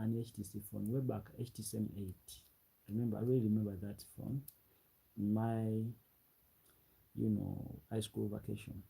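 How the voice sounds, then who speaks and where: Gravelly, dark-voiced young man. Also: fairly low pitch, rough recording. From Uganda. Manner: repeats phrases, relaxed and rambling. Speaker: livestreamer. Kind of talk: late-night livestream chat, reading comments.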